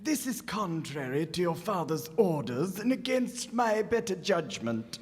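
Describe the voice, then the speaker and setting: A woman acting a dutiful, disapproving jail sergeant in a satirical radio play, formal and measured. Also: lowish voice, big pitch swings, slightly rough, full character voice. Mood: stiff, reluctant. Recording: echoey hall stage performance.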